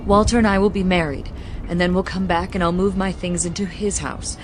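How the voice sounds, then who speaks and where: An actress playing a determined, anxious young daughter in a radio drama, speaking earnestly and imploringly. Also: female voice, fairly low pitch, fairly even intonation, brisk, background noise, close to an everyday voice. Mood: nervous, determined. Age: young adult.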